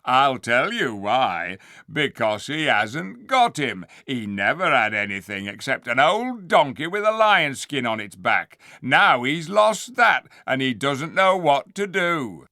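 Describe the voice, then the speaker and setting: A man's voice: mid-range voice, sing-song, slow, hardly any pauses, pushed and bright, dry recording, clean studio sound, heavily acted. A man playing a sneering, scornful dwarf chief. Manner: sneering, mocking.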